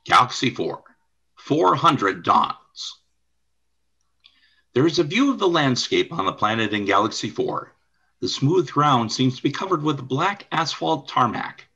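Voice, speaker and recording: A man narrating a scripted radio play as its announcer, in a dramatic, measured storytelling manner. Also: mid-range voice, bright, forceful tone, breathy edge, lo-fi sound.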